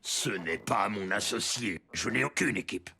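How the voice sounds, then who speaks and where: A man's voice: on the low side, expressive, measured pace, creaky, noisy background. A man voicing a proud, dramatic adult superhero who prefers to work alone in a dubbed children's cartoon. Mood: pompous. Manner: pompous, dramatic. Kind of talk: animated cartoon dub. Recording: music over the voice.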